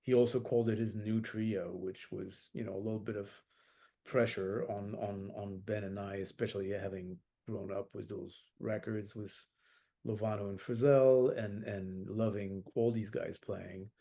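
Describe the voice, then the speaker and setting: A man's voice: lowish voice, steady tone, moderate pace, vocal fry, mellow. A middle-aged man from France, a jazz saxophonist as interview guest, talking thoughtfully with many fillers and restarts. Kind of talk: reflective interview talk.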